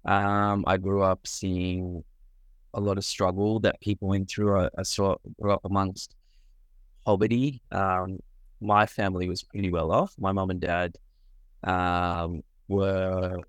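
A man from Sri Lanka, a medical doctor, answering interview questions earnestly, pausing often with fillers. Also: low-pitched, little pitch movement, clean tone, no room sound. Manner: earnest, with frequent pauses and fillers.

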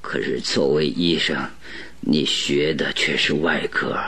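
Radio drama casting: stubborn detective on his sickbed, extremely low hoarse voice, slow and gasping. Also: steady tone, bright, forceful tone, full character voice. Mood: weak, stubborn. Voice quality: hoarse, breathy. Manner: halting, feeble but stern. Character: gravely ill, stubborn male detective, weak and gasping.

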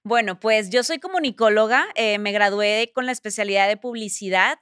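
A woman's voice: mid-range voice, normal speed, fairly bright tone, smooth, dry recording, clean studio sound. A young woman from Mexico, chatting upbeat as a podcast guest. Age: young adult. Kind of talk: interview conversation.

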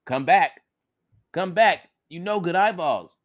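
A man's voice: medium pitch, animated, unhurried, fairly bright tone. A man voicing a boastful, foolish man from a folktale who turns whiny and pleading. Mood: angry.

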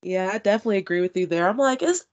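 Female podcast host, casual and blunt, sounding stuffy, medium pitch. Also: lively intonation, racing, a little mellow, lo-fi sound. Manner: casual, swears freely. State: stuffy from allergies.

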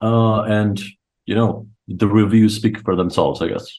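Young adult male podcast guest from Croatia, lowish voice, informal and enthusiastic storyteller. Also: steady tone, a little slow, darkish tone. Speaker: podcast guest, chess coach. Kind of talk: casual interview chat, storytelling.

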